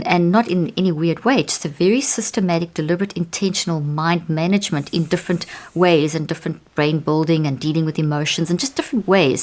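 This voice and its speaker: South African woman, lower voice, storytelling. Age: middle-aged. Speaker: neuroscientist guest. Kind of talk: podcast interview.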